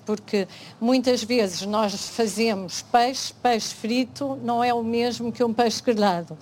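A woman with a European Portuguese accent, speaking as a panelist on food science, halting often with restarts and "eh" fillers. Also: on the high side, a little mellow, slight background noise. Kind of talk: panel discussion.

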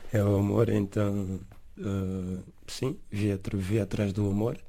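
A young man from São Tomé and Príncipe with a São Tomé Portuguese accent, giving halting, laid-back interview answers that trail off softly at the ends of phrases. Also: on the low side, steady tone.

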